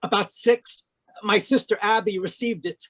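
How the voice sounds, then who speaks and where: A woman's voice: medium pitch, normal speed, lightly acted. A woman playing an anxious husband protesting his innocence in old-time radio mystery style. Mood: uneasy. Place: live video call at home. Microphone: video call.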